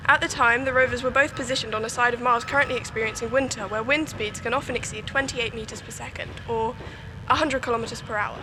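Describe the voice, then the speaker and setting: Young woman playing a sure-of-herself scientist, kinda high voice, explaining stuff, noisy location. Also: fairly even intonation, quick pace, close to an everyday voice. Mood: authoritative. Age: young adult. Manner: authoritative, matter-of-fact. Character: confident, dismissive female scientist. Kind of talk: scripted news interview drama.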